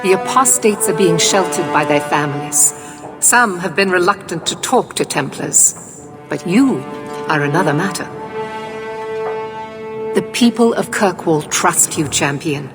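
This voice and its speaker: An actress playing a stern templar knight commander in a video game, speaking in a formal, measured, authoritative way. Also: female voice, on the low side, expressive, over music. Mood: formal, businesslike.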